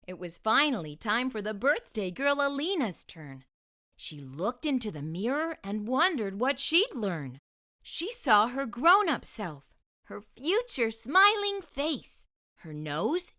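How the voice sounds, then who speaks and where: A woman's voice: fairly high pitch, sharp tone. A woman hosting a children's read-aloud, narrating a storybook expressively with careful delivery.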